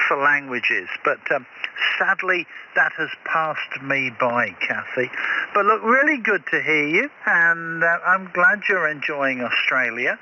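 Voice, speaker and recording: A man's voice: a little high, bright, forceful tone. An older man from England chatting on amateur radio, friendly and encouraging, speaking hesitantly with lots of restarts. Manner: hesitant, with many restarts and fillers. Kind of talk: casual radio chat. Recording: muffled radio audio with faint static.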